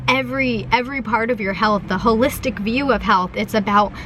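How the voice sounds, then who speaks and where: A young woman in her twenties with a hoarse voice from a flu, rambling heartfelt to camera in a sit-down vlog, full of ums and restarts. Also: a little high, brisk, a bit bright, a little hiss or hum, low-quality recording. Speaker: vlogger. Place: parked van.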